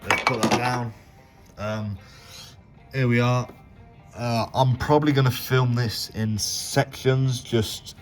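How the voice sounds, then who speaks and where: A man with a slight cold talking through a car repair in a halting, self-correcting way as he works. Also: lowish voice. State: has a bit of a cold. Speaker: home mechanic. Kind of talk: casual step-by-step repair commentary.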